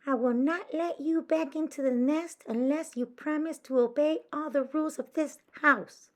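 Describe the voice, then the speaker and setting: A woman voicing a strict mother bird in a children's storybook, scolding in a sharp, bossy manner. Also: sits high, normal speed, pitched above the performer's own voice, close to an everyday voice. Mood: stern.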